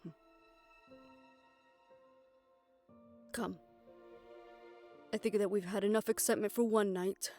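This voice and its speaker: An actress playing a composed, authoritative aunt and family elder in an audio roleplay drama, speaking in a measured, formal, stern way. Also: female voice, medium pitch, steady tone, dark tone, faint music behind, lightly acted. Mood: weary regret.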